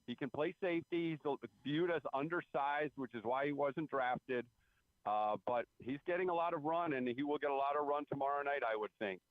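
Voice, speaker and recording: A man giving measured, explanatory sports analysis as a beat writer guest, over a tinny phone line. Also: a little high, dry recording. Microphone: phone call.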